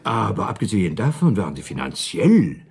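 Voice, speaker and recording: An actor playing a wry, shrewd dinner companion in a German radio play, a husky, mellow man who argues deliberately and persuasively. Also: on the low side, huge pitch swings, exaggerated voice. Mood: scheming.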